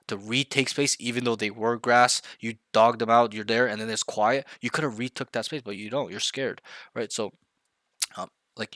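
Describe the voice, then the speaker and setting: Blunt, bright-voiced male game coach. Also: medium pitch, dry recording. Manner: blunt, emphatic, repeats points for stress. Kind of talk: coaching session, direct feedback.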